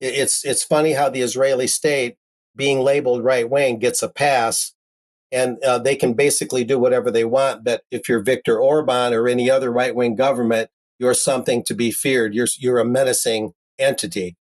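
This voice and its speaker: A man's voice: medium pitch, steady tone, normal speed, low-quality recording. A man presenting news commentary, reading articles aloud and adding wry, conversational asides of his own.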